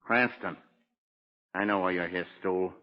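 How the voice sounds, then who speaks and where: A man's voice: sits low, measured pace. An actor playing a boastful, menacing convicted killer with cocky tough-guy slang in 1940s radio crime drama style. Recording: muffled tinny old radio recording.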